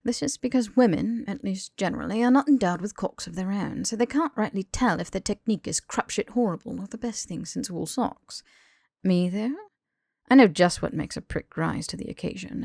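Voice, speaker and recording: A woman's voice: on the low side, brisk, creaky, darkish tone, no echo, studio quality. A woman voicing a smug, posh young man who lectures his lover in long, pompous sentences for an audiobook. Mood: smug, lecturing. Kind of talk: audiobook character dialogue.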